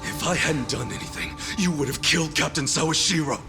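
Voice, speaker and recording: Gravelly, righteous gangster hero. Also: male voice, a little high, animated, fairly quick, music in the background. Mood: accusing, impassioned. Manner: blunt, earnest, accusing. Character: righteous, loyal gangster hero confronting his former young master. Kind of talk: dramatic video game cutscene acting.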